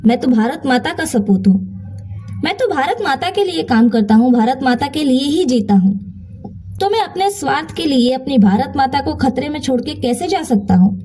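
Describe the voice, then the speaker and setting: Lady storyteller doing a loyal army guy, high soft-toned voice, earnest and declamatory, super dutiful. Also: expressive, moderate pace, breathy edge. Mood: resolute. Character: dutiful patriotic male soldier, resolute and selfless.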